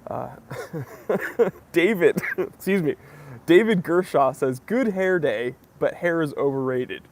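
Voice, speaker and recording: A man's voice: fairly high pitch, expressive, slow pace. Young american guy chatting on a livestream, reads comments, jokey, bit wheezy from a cough. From United States. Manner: chatty and joking, greets viewers by name. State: slightly wheezy, recovering from a cough. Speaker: livestream host. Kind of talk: casual live Q&A chat, reading comments.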